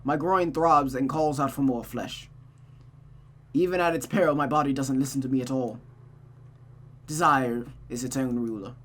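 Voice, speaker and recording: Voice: medium pitch, moderate pace, long pauses. A streamer reading a visual novel aloud expressively, with dramatic narration and casual asides. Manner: expressive, often restarts misread sentences.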